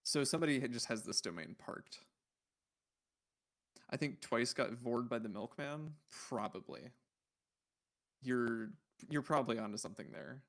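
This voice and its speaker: A man with a mid-range voice hosts a casual livestream. He talks in a rambling way, thinking out loud as he browses. His delivery is conversational and musing, as if puzzling things out. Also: medium pitch, lively intonation, normal speed, pauses often. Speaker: livestream host.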